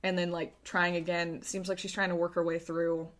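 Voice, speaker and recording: A woman narrating a tabletop game as game master, conversational and pausing to search for words. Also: fairly low pitch, little pitch movement.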